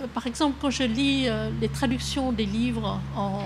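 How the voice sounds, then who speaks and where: A woman writer whose first language is Vietnamese, reading her text aloud and then answering questions in a soft, hesitant way with many halting restarts. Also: fairly high pitch, over loud music. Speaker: author. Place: public talk with an audience.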